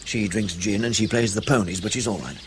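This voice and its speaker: An actor playing a blunt, wry British intelligence chief in a radio spy drama, clipped and matter-of-fact, with colloquial phrasing. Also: male voice, on the low side, a little fast, background noise, close to an everyday voice.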